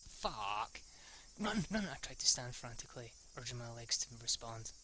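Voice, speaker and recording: Young male amateur reader, bright tense tone, stumbles on lines. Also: mid-range voice, very animated, normal speed, slight background noise. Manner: stumbling over words, restarting lines. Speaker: reader of a visual novel. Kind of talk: amateur read-through narration.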